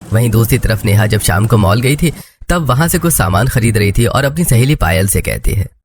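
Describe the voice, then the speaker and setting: A man's voice: mid-range voice, expressive, normal speed, background noise. A man reading scripted narration for a cartoon family story.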